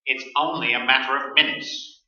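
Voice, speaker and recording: Sharp, forceful grim male expert. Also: medium pitch, fairly even intonation, normal speed. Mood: grim insistence. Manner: clinical, emphatic. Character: grim, blunt expert giving emergency advice. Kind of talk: staged radio play acting. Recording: echoey stage, distant, dull sound.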